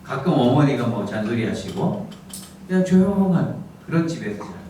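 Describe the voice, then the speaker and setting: A middle-aged man from the Gyeongsang region who speaks with a standard Seoul-area accent, preaching in a soft, humorous storytelling manner. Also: medium pitch, sing-song, fairly quick, recorded from a distance. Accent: standard Seoul-area Korean. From Gyeongsang region, South Korea. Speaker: pastor. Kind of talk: lecture-style sermon. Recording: echoey hall miked from afar, background noise.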